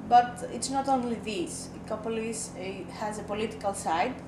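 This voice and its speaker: A woman from Greece, an activist, explains her group in a halting way with frequent fillers. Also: fairly high pitch. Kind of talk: interview answer. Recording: echoey room, mic far off, noisy.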